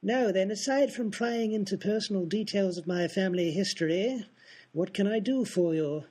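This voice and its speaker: Man playing a stuffy lord, husky voice, formal and prim, radio comedy. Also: sits high, normal speed, a little mellow. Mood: haughty. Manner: formal, stiff and polite. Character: haughty aristocratic male lord, prim and formal. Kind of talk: comic radio play acting.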